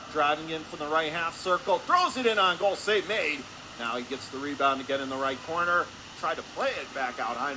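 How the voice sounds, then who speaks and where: A man doing radio play-by-play of a hockey game in clipped, rapid-fire phrases. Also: fairly high pitch. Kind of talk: radio sports play-by-play commentary. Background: noisy indoor ice rink.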